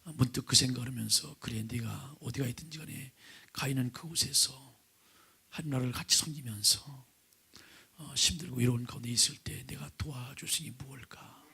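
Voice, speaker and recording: Man, pastor, hoarse, gravelly fry, breathy half-whispers, earnest sermon, questions to the pews. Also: mid-range voice, brisk, bright, forceful tone. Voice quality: raspy, gravelly. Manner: earnest, often drops to a breathy near-whisper, asks the congregation questions. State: hoarse from vocal nodules. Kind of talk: sermon with opening prayer. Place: church sanctuary, at the pulpit.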